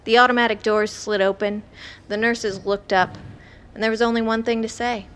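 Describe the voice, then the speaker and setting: An actress playing a self-possessed woman doctor in an audio drama, telling a horror story in an intense, deliberate, first-person monologue. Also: a little high, flat delivery, slight background noise, close to an everyday voice. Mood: cool resolve. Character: ambitious woman ER doctor recounting terror, cold and self-possessed. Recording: loud music bed under the voice.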